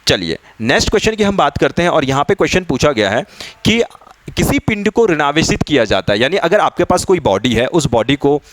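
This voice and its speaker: A man's voice: on the high side, sing-song, fairly quick, no room sound. A man teaching an exam-preparation lesson, explaining step by step with much repetition and English technical terms mixed in. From India. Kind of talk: explanatory lesson working through practice questions.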